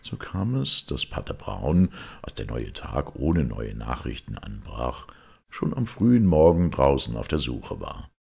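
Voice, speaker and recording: A man reading a detective story aloud as an audiobook narrator, measured and expressive, with a gravelly, husky voice. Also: a bass voice. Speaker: audiobook reader.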